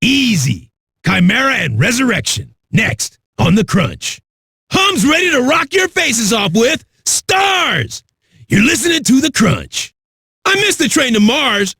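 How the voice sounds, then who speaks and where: An actor playing a cocky, crude, manic metal radio DJ with a raspy, shouty delivery. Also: male voice, very high-pitched, big pitch swings, unhurried, no echo, studio quality, exaggerated voice. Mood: hyped, brash. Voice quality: raspy, gravelly. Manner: brash, profane, shouty. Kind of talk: hard rock radio DJ patter.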